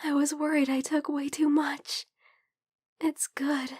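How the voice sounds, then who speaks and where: A shy young vampire girl, acted by a woman in an intimate ASMR roleplay. Her voice is high, soft and breathy, often falling to a whisper. She speaks slowly and hesitantly, tender and hungry, very close and dry. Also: little pitch movement, no room sound. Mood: worried, tender.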